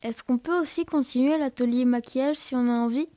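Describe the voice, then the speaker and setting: A woman's voice: high voice, brisk, warm tone, no room sound, natural voice. A woman playing a cheerful, dreamy young schoolchild in an audio drama, with an eager, singsong childlike manner. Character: cheerful, chatty young schoolchild named Avi, dreamy and affectionate. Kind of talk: French audio fiction drama.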